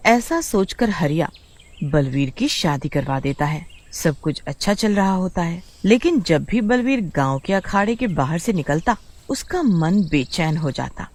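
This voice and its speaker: A woman's voice: fairly low pitch, lively intonation, a little slow, a little mellow. A woman with an Indian accent reading scripted, dramatic story narration.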